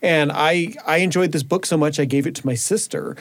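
Higher-voiced man in earnest radio chat. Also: a little fast. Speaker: radio show contributor. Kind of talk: conversational studio discussion. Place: radio studio.

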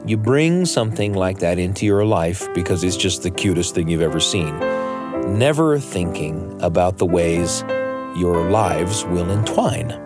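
A man's voice: low voice, animated, a little slow, over music. A man hosting a radio show, telling personal stories and chatting in a studio. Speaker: radio show host and storyteller. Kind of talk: personal storytelling and studio chat.

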